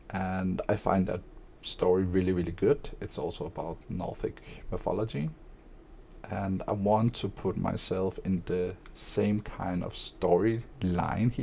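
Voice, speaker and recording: Slow, gravelly man. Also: low-pitched, slight background noise. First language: Danish. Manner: monotone; hesitant, many fillers. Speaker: streamer and aspiring author. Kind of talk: casual spoken monologue.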